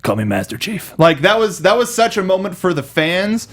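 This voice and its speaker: Man, talk show host, moderately high pitch, informal, halting delivery, casual review style. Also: very animated, fairly bright tone. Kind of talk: casual chat, film review.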